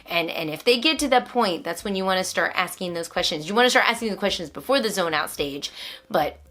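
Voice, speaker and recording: A woman's voice: on the low side, animated, fairly quick, fairly bright tone. A woman, a homeschooling mother, talking in an explanatory, encouraging way as she gives teaching tips in a vlog. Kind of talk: instructional vlog talk with read-aloud asides to a child.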